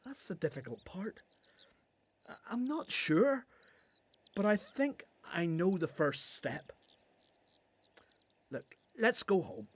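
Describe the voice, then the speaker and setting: A man's voice: high voice, very animated, slow, pauses often, breathy edge, full character voice. A man acting in a radio Bible play as a humble, earnest son called to be a prophet, speaking hesitantly.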